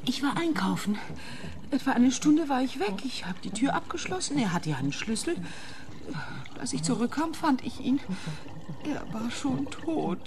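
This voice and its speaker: An actress playing a distraught, naive woman suspected of murder in a radio crime comedy, speaking in a plaintive, halting way. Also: sits high, sing-song, crowd noise.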